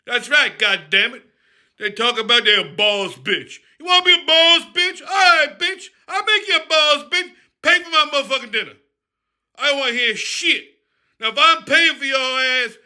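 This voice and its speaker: A man playing a profane, loudmouthed character who rants and shouts in a comedy bit. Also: very high voice, normal speed, pitched above the performer's own voice, exaggerated voice. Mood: ranting. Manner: profane ranting, shouting, mocking. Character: foul-mouthed loudmouth man named Crazy Rufus.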